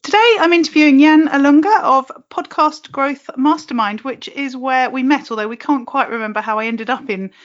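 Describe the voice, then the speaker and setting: A woman's voice: high-pitched, normal speed, hardly any pauses, muffled recording. A woman hosting a podcast, bubbly and chatty, who laughs a lot. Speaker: podcast host. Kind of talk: podcast intro and interview.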